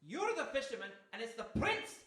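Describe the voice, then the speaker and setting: A woman's voice: high-pitched, sing-song, brisk, a bit bright. A woman playing a cheeky, scoffing seagull with a teasing, folksy dialect in a live radio play. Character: cheeky, scoffing talking seagull who mocks a fisherman. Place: stage before a live audience in a hall. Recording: roomy hall, far from microphone.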